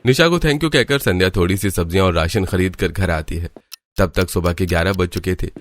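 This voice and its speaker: A man's voice: low-pitched, a little fast, natural voice. A man narrating a scripted cartoon story. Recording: music behind the voice. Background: background music.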